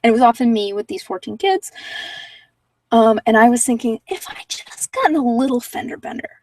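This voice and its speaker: A woman's voice: a little high, expressive, fairly quick, darkish tone, dry recording, recorded from a distance. A middle-aged American woman chatting casually and candidly to her viewers, rambling from one thought to the next. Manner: rambling, candid, digressive storytelling. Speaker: vlogger chatting with live viewers. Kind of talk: casual chat.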